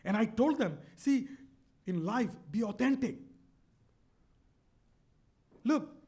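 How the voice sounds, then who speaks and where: A man's voice: extremely high pitch, animated, slow, a little mellow. A middle-aged man with a Bangladeshi accent, telling a motivational story in an earnest, emphatic way, often repeating words. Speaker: speaker on a stage talk.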